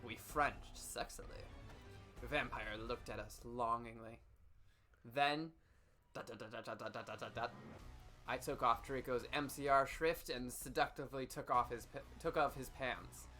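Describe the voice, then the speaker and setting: A man's voice: medium pitch, fairly even intonation, unhurried, pushed and bright, soft background music. A man doing a comedic dramatic reading with casual commentary, mock-serious and tripping over words. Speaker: streamer hosting a dramatic reading.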